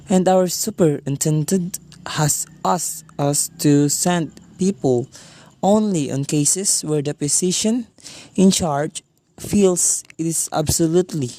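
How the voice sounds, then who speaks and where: A calm, official hospital clerk, played by a woman, with a low and mellow voice. She speaks slowly and politely in radio-play style.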